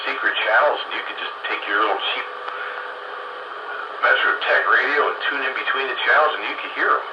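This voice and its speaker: A man chatting casually over CB radio in a rambling, halting way, often repeating words. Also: mid-range voice. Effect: CB radio transmission. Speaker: amateur CB radio operator. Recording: dull lo-fi radio, loud static noise.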